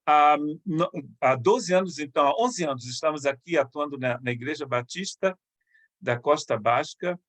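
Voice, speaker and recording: A middle-aged man from Rio de state, a missionary pastor speaking to a church in a conversational, halting way with restarts. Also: fairly high pitch, fairly bright tone, dry recording. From Brazil, Rio de Janeiro state.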